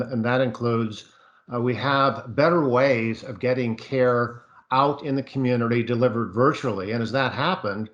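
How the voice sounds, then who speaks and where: A man's voice: medium pitch, fairly bright tone, lo-fi sound. A man speaking as a panelist on a video call, explaining his views at length with frequent fillers. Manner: expansive, thinking aloud with many fillers. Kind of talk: virtual panel discussion.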